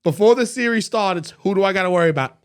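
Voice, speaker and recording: A hoarse young man hosting a casual sports chat, excitable and bursting into shouts. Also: high voice, quick pace. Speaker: podcast host.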